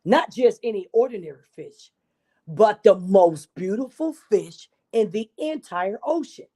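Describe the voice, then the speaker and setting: A woman's voice: medium pitch, animated, measured pace, warm tone. A woman reading a children's story aloud expressively, with asides to her young listeners.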